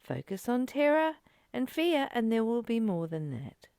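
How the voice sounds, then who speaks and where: A woman giving a reflective spiritual teaching, reading and commenting in short, earnest, gently urging phrases with pauses. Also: fairly high pitch, sing-song, smooth, dry recording, studio quality.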